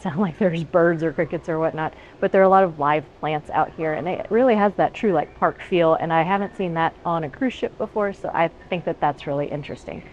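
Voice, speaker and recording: A woman's voice: lowish voice, lo-fi sound, slight background noise. A woman co-hosting a travel vlog, talking in a friendly, enthusiastic way while touring an open-air cruise ship deck. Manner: friendly, enthusiastic, frequent agreeing murmurs. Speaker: travel vlogger co-host. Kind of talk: casual walking tour narration.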